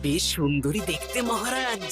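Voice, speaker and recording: Cartoon court wit, voiced by a woman: mid-pitched, very animated, courteous and playful, over loud music. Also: moderate pace, lightly acted. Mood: agreeable. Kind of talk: cartoon voice acting.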